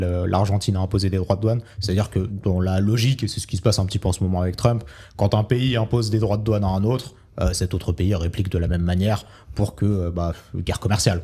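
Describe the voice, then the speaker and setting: Podcast host, young man, rapid loose explainer, lowish mellow voice. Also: steady tone. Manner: explains loosely, fillers like euh and du coup.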